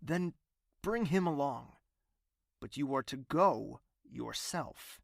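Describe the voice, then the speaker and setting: Gravelly, imperious royal ruler. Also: male voice, mid-range voice, expressive, slow pace, no room sound, studio quality, exaggerated voice. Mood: commanding. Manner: stern, clipped, authoritative. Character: cold, commanding royal ruler, unbending and imperious. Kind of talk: dramatic audio drama dialogue.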